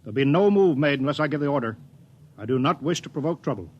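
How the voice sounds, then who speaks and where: An American actor playing a wary, commanding spaceship captain in a 1950s science fiction radio drama, giving clipped, authoritative orders. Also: male voice, a little high, expressive, fast talker, darkish tone. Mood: wary, firm. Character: stern, wary male spaceship captain, commanding and duty-bound. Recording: muffled vintage radio recording, faint music.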